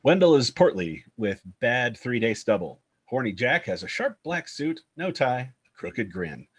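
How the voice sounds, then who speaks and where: A man's voice: medium pitch, very animated, a little slow, breathy edge. A man giving scripted narration, reading out the scene directions of a screenplay at a table read.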